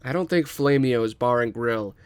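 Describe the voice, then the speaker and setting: Dismissive bar boss, read by a man, mid-range pitch, close dry sound. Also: moderate pace, clear, resonant tone, dry recording, lightly acted. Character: dismissive male bar and grill manager. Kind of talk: scripted table read.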